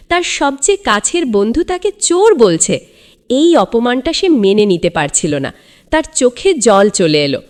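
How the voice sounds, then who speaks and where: A woman narrating a children's story in a theatrical, suspenseful, scripted style. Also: mid-range voice.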